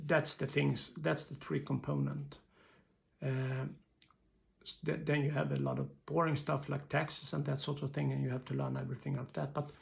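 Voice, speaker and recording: A man with a Swedish accent giving an informal explanatory business talk in halting, hesitant non-native English phrasing. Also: medium pitch, vocal fry. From Sweden.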